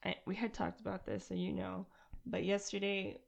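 Gravelly-voiced giggly young woman. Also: mid-range voice, level tone, normal speed. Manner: giggly, chatty, laughs often. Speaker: podcast co-host. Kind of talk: casual chat. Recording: dull lo-fi podcast audio.

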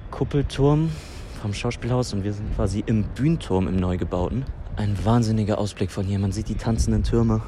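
A man's voice: lowish voice, mellow. A man describing his surroundings piece by piece on location, as a podcaster exploring a building. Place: on the move around a theatre building. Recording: clear ambient background noise.

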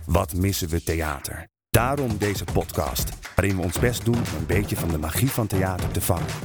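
Deep guy voice doing scripted podcast intro, music really loud behind him. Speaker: podcast announcer.

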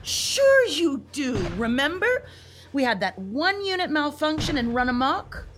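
A woman plays a high, very animated robot rabbit worker in a comic radio play. The manner is breezy and officious, full of false reassurance, over faint background noise. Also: a little slow. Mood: evasive, coaxing.

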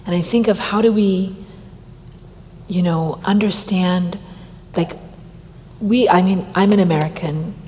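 A woman answering on a discussion panel, speaking reflectively and often pausing with "you know". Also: on the low side, fairly even intonation, a little mellow. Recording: long hall reverb, faint noise.

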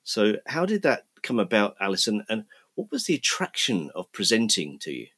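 A man interviewing a guest, offering brief acknowledgements and measured questions. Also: lowish voice, huge pitch swings, a bit bright.

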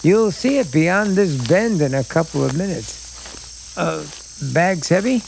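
An actor playing a sinister, coaxing bridegroom in an old-time suspense radio drama, with a folksy, drawling, insistent manner. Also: male voice, on the high side, lively intonation, slow, vocal fry, a little mellow, slight background noise, full character voice, rough recording. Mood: casual, evasive. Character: sinister, coaxing New England bridegroom with secrets.

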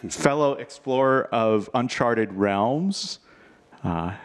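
Guy introducing speakers at a live event, formal and polished, average pitch. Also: animated, slow pace. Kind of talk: formal introduction, partly read.

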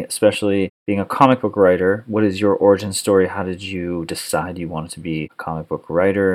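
A man hosting a podcast, asking his guest questions in a friendly, relaxed way. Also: sits low. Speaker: podcast host. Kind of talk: podcast interview.

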